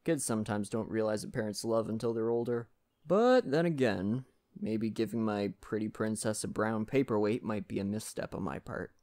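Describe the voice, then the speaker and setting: A man playing a friendly, folksy lumberjack in a soft-spoken ASMR roleplay, talking gently and reassuringly to the listener. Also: fairly low pitch, moderate pace, lightly acted. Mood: warm, modest. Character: friendly Canadian lumberjack, gentle nature-loving father.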